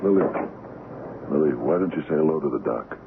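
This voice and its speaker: An actor playing a dying ship's captain in a 1970s radio drama, wounded yet commanding. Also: male voice, extremely low pitch, animated, fairly quick, creaky, heavily acted. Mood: desperate commanding. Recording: noisy, tinny old radio broadcast.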